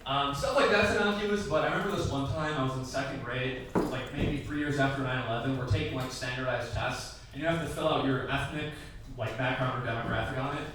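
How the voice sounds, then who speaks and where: A man's voice: mid-range voice, a little fast, distant mic, background noise. A young man in his twenties doing a stand-up set, rambling and conversational, full of "like"s and acted quotes. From Iraqi family, raised in the Midwest. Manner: loose, conversational storytelling with many "like"s and acted quotes. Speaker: stand-up comic. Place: tavern comedy room. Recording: echoey bar room recorded from afar.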